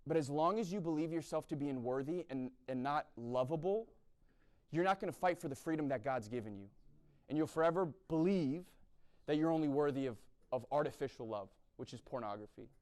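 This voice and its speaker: Blunt young man preaching, fairly high voice. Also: expressive, fairly quick, a little mellow. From East Coast of the US. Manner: blunt, repeats "right?" often. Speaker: speaker at a university chapel event. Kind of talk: sermon-style talk.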